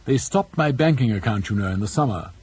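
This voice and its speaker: A man's voice: on the low side, expressive, a little fast, darkish tone, slightly rough. A man voicing a dissolute, sulky young aristocrat in an audiobook, with Received Pronunciation and a languid, defiant manner. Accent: English Received Pronunciation.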